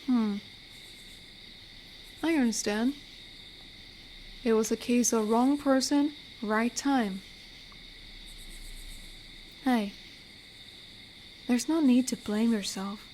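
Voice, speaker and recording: A woman acts as an amiable, lonely werewolf guardian in an audio roleplay. Her voice sits a little high and moves very unhurriedly, soothing and reflective. Faint night-time cricket ambience sits behind her. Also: takes it slowly, pauses often, a little mellow, a little hiss or hum. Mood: comforting, sincere. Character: amiable, protective, lonely female werewolf, comforting and gentle. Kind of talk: intimate scripted audio roleplay. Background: night forest ambience with crickets.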